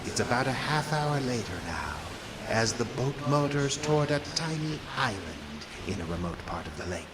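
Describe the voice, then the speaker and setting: Low, theatrical male suspense narrator. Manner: theatrical, conspiratorial. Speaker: narrator host. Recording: clear ambient noise behind narration.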